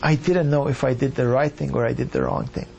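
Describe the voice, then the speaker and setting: Earnest man from Iran, gravelly voice. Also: mid-range voice, fairly even intonation, a little fast, darkish tone, dull audio, slight background noise. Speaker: doctor. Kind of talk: reflective personal account.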